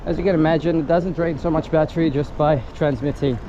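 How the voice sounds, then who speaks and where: A man's voice: a little high, steady tone, fast talker, highs cut off. A man giving a casual, unscripted product review in an informal, thinking-aloud way, recorded outdoors on a city street. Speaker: gadget reviewer and vlogger. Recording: noisy outdoor street with traffic ambience. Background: urban traffic and street noise.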